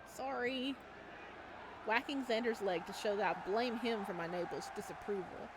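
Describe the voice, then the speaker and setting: A woman's voice: medium pitch, a little fast, background noise. A woman reading a visual novel aloud as a let's play host, with casual, amused asides.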